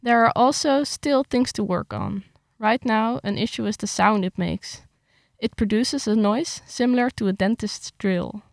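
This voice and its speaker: A young woman with a gravelly, slightly creaky voice, recorded dry and close with no room sound. She chats with some hesitation, then reads her article aloud steadily. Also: fairly high pitch, unhurried, dry recording. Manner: hesitant with fillers when chatting, steady when reading. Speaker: writer reading her article. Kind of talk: casual chat, then reading an article aloud.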